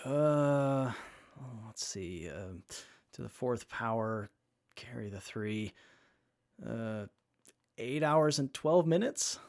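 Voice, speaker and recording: An actor playing an earnest, keen young mechanic in an audio drama, with a slightly hesitant, apologetic manner. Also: male voice, medium pitch, animated, takes it slowly, long pauses, darkish tone. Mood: sheepish. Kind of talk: post-apocalyptic audio drama.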